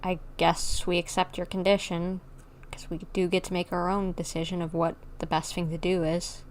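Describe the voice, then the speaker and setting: A woman's voice: fairly low pitch, little pitch movement, moderate pace, a little hiss or hum. A woman game streamer giving casual, chatty, playful commentary on a livestream. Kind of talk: casual livestream gameplay commentary, reading dialogue choices aloud.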